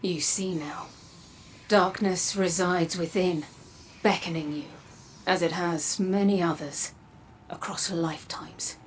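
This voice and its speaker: A woman playing a grave, cryptic seer in a video game, hushed and deliberate. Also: fairly low pitch, steady tone, slight background noise. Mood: grave, foreboding. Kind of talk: dramatic video game narration.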